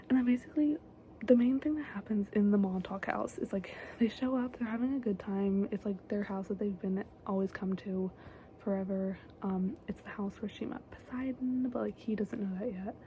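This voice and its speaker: A young woman giving a casual, chatty recap of a TV episode in a soft whisper, close to the mic, with lots of fillers. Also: medium pitch, normal speed, slight background noise.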